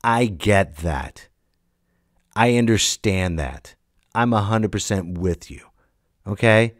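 A man's voice: fairly low pitch, lively intonation, slow, no echo, clean studio sound. A man in his late thirties hosting a solo podcast, ranting and telling stories casually in a blunt, emphatic manner. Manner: emphatic, blunt, swearing, acts out quoted lines. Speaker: podcast host.

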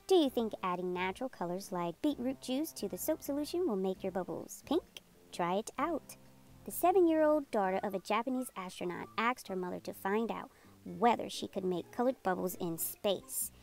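Expressive woman reading a children's storybook. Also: mid-range voice, normal speed. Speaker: storybook narrator. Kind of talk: scripted children's read-aloud narration. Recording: music behind the voice. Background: music.

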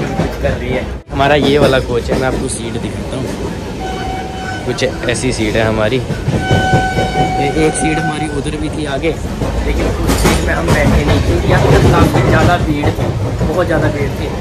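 A young man from the Jammu region chatting upbeat to his viewers as he films on a moving train with a handheld phone. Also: fairly high pitch. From Jammu region, India. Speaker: travel vlogger. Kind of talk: casual vlog narration to viewers. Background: background music over train noise.

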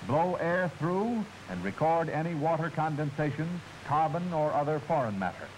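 An American man reads scripted instructional narration in the style of a 1940s military film. His voice sits fairly high and moves with lively intonation, formal and clearly enunciated. The old soundtrack carries a faint hiss. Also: normal speed, rough recording.

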